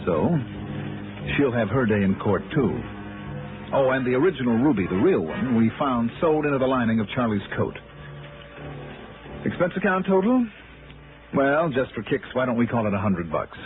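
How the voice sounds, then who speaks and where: An American actor playing a wry, self-assured insurance investigator who narrates casually in a 1960s radio detective drama. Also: male voice, medium pitch, sing-song, moderate pace, long pauses, over music, natural voice. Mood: dry, matter-of-fact. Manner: casual, wry, clipped first-person narration. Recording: tinny, noisy old radio broadcast.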